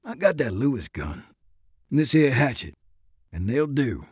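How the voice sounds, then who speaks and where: A man's voice: medium pitch, big pitch swings, a little slow, darkish tone, slightly rough, no room sound, studio quality, exaggerated voice. Man voicing a folksy, drawling Southern soldier. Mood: proud, generous. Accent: rural American South. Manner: drawling, colloquial, instructive. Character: folksy, confident soldier corporal, good with guns.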